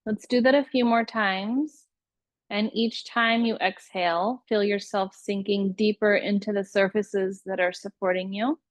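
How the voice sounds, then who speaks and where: Slow-paced woman guiding meditation, dry sound. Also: medium pitch, steady tone, no room sound. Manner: unhurried, soothing instructional delivery with pauses. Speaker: yoga nidra meditation teacher. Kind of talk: guided meditation.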